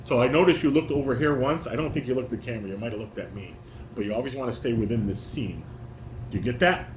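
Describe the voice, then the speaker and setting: A man's voice: mid-range voice, fast. A man directing a family table read, reading stage directions and giving informal, corrective instructions. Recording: echoey room with clear background noise.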